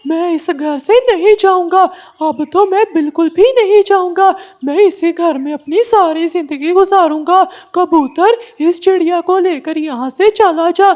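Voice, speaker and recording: A woman's voice: very high-pitched, a little slow, hardly any pauses, darkish tone. A woman voicing a mean, stubborn male crow in a cartoon story, taunting and threatening.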